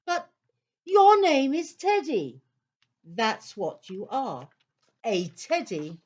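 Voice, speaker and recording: A woman playing a patient storyteller in a children's audio play, narrating in a deliberate, expressive, theatrical way. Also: high-pitched, muffled recording, heavily acted. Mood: exasperated, teasing. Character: patient female storyteller, gently exasperated with her characters.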